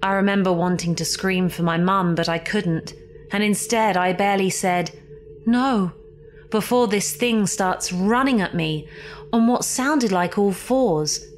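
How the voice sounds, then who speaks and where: A woman reading a scripted ghost story as a horror podcast narrator, theatrical and suspenseful, with deliberate emphasis. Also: mid-range voice, slight background noise. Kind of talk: scripted dramatic spooky narration. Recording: music behind the voice.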